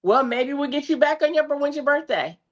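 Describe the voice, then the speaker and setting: A woman hosting a live talk show interview, friendly and quick to laugh, sounding stuffy from a cold. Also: high voice, lively intonation. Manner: friendly, laughs often, effusive thanks. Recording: dull lo-fi stream audio.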